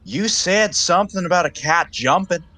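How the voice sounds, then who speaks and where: A man's voice: high-pitched, lively intonation, normal speed, bright, forceful tone. A man acting an earnest, naive young suitor in a 1920s stage comedy, courteous and genial. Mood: concerned, genial.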